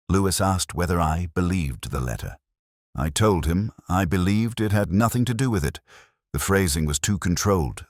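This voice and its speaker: Very deep-voiced brooding male detective, acted by a man. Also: no echo, clean studio sound, exaggerated voice. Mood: pensive, uneasy. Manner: measured, literary, solemn. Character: brooding, observant male police detective narrating his case. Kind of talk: scripted first-person mystery narration.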